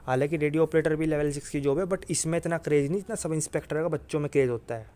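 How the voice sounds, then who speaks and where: Higher-pitched man, informal coach. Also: rapid-fire, mellow. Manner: repetitive, emphatic reassurance with frequent filler address. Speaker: exam coaching YouTuber. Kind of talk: informal exam guidance talk.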